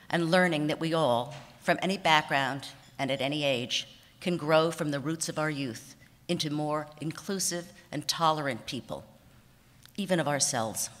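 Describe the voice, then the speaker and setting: A woman in her sixties giving an award acceptance speech from notes at a podium, warm and self-deprecating, with small laughs and restarts. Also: deep voice. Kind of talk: prepared speech read from notes. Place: banquet hall stage at a podium. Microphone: podium microphone on a stand. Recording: reverberant banquet hall.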